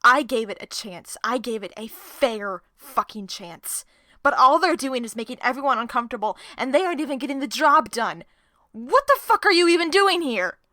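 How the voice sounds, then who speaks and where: A woman acting in an audio drama as a sharp-tongued, distrustful team member who swears and confronts people. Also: a little high, expressive, moderate pace, no room sound, studio quality. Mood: furious, bitter. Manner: profane, confrontational, sarcastic. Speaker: voice actor in an audio roleplay.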